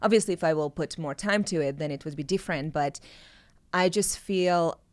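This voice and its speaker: A woman's voice: low-pitched, normal speed, dry recording. A woman in her thirties, a native Hungarian speaker with a Hungarian accent, talking thoughtfully as an interview guest, often stopping and restarting. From Czechoslovakia. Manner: thoughtful, hesitant, with frequent restarts. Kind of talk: casual chat.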